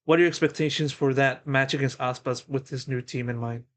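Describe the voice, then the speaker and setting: A man's voice: medium pitch, steady tone, brisk. A man interviewing a pro player, asking long, wordy questions full of fillers.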